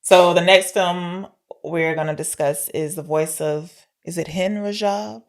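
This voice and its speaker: Low-voiced woman, casual podcast co-host. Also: steady tone, a little slow. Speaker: podcast co-host discussing films. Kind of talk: casual film discussion.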